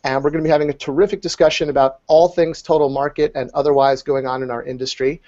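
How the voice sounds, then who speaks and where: A man's voice: on the high side, flat delivery, fast, darkish tone. A man hosting an interview show, giving upbeat, scripted introductions and questions. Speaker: show host. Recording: dull lo-fi recording.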